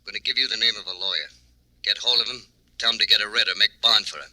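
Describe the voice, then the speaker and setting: A man playing a tough, smooth-talking, commanding drug smuggler in a 1940s radio drama, with terse tough-guy slang. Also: lowish voice, fast talker, over a noisy crowd, lightly acted, low-quality recording. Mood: urgent, commanding.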